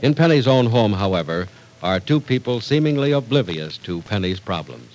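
A man's voice: lowish voice, lively intonation, normal speed, a little mellow, a little hiss or hum, low-quality recording. A male announcer reading a script in a formal, polished 1940s radio style. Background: music.

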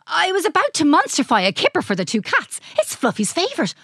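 An eccentric old man of science , acted out by a woman for a children's story. The voice is high and leaps about in pitch, stammering and exclaiming with great excitement, recorded close and dry. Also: fast talker, dry recording, full character voice. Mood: flustered.

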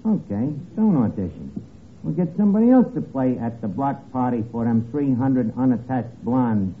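An actor playing a scheming, penny-pinching tavern manager with a New York accent and rough, slangy diction, in a 1940s radio comedy. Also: male voice, lively intonation, moderate pace, narrow, tinny audio, natural voice. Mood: wheedling. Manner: wheedling, malapropism-prone, hesitating before each pitch. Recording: thin, noisy old radio broadcast recording.

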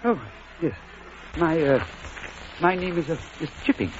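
An actor playing a shy, fussy, old-fashioned schoolmaster who hesitates and stammers, in an old-time radio drama. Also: male voice, on the high side, animated, a little slow, slight background noise. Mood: flustered, awkward. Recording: dull old radio recording.

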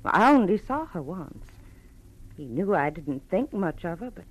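A woman's voice: fairly low pitch, sing-song, slightly rough, heavily acted. An actress playing a sweet, brave gray-haired mother, anxious and hesitant, in an old-time radio drama. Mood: wistful, earnest. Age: middle-aged. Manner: hesitant, halting, genteel. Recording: muffled vintage radio recording.

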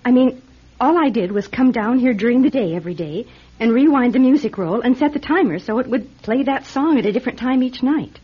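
A woman acting a nervous female accomplice in a radio drama, with a husky voice. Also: a little high, expressive, brisk. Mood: uneasy. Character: nervous female accomplice feigning grief, eager yet uneasy. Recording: dull, lo-fi radio recording.

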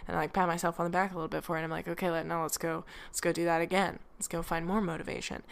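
Rambling young woman podcaster, smooth voice. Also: on the low side, level tone, rapid-fire. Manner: rambling, self-deprecating, many fillers. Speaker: podcast host. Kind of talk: casual solo podcast. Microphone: home microphone, drifting away from it.

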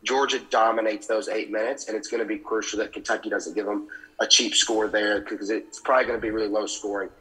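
A young man co-hosting a sports podcast, explaining his points in a measured way. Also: on the low side, steady tone, sharp tone, rough recording. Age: young adult. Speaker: podcast co-host. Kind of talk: casual sports chat.

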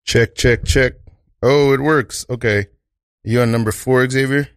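A man hosting an improv comedy podcast, talking casually and a bit haltingly. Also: mid-range voice, steady tone, no room sound, clean studio sound. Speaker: podcast host. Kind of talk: casual chat.

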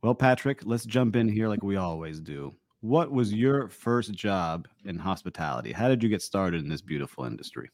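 A man's voice: on the low side, lively intonation, normal speed, few pauses, darkish tone, dry recording. A man hosting an interview podcast, reading a polished intro and then asking friendly questions. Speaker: podcast host. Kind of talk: scripted intro and ad read, interviewer.

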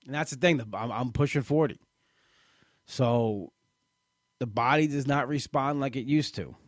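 A man in his late thirties talks candidly about his own experience, stumbling over his words and starting sentences again and again. His voice sits at an ordinary middle pitch, and the recording is dry and close with no room sound. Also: no echo. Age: late 30s. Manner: halting, many restarts and repeated words. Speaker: weight-loss program member. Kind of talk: personal testimonial talk.

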